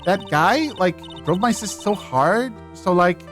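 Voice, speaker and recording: A woman's voice: lowish voice, expressive, unhurried, faint music behind, voice pushed up. A performer voicing a ditzy young valley-girl woman who drawls defensively in a video game dub. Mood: sad, weary. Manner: filler-heavy valley-girl drawl, peppered with "like".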